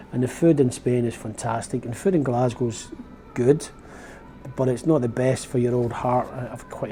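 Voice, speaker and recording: A man's voice: mid-range voice, warm tone, a little hiss or hum. A Glaswegian man in his fifties, rambling in a casual, reflective vlog, often correcting himself as he goes. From Scotland. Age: 50s. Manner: rambling, chatty, self-correcting. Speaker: vlogger. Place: outdoors on a windy open-top bus tour.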